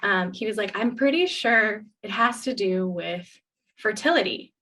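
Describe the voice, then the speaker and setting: A woman co-hosting a podcast, talking candidly in a casual chat with many pauses and restarts. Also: medium pitch, lively intonation, a bit bright. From United States. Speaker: podcast co-host.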